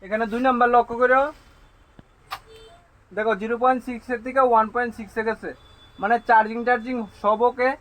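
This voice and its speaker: A man with a strikingly high voice and a Tripura Bengali accent talks at a quick clip. He explains repair work informally, as a hands-on technician, repeating his assurances with emphasis. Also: level tone, fairly bright tone, rough recording.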